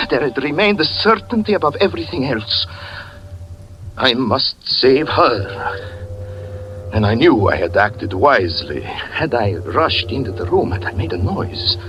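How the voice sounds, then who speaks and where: An actor playing a haunted male cabaret performer who narrates his lost love in 1940s radio style, intense and dramatic, dropping at times to hushed whispers. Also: mid-range voice, heavily acted, low-quality recording.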